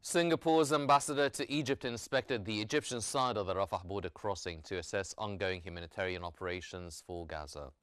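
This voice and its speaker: A man working as a news anchor, reading a scripted bulletin in a formal, measured newsreader delivery. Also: on the low side, a bit bright, clean tone, dry recording, studio quality.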